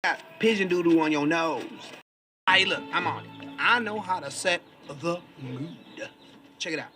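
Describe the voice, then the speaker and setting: A man voicing a cocky, playful party bird in an animated film. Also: a little high, normal speed, music in the background, close to an everyday voice.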